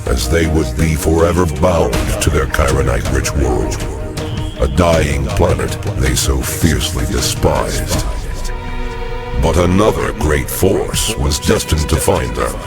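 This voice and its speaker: A man's voice: rumbling low, unhurried. A man voicing a solemn, portentous storyteller in scripted narration for a game cinematic. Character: grave male epic storyteller of alien lore. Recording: loud music under voice.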